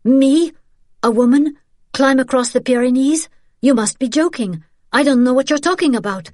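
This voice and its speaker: A woman's voice: medium pitch, lively intonation, a little slow, no echo, clean studio sound, pitched above the performer's own voice. A woman voicing a brave but frightened young French woman resistance courier, pleading and insistent, for an audiobook. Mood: feigned innocence.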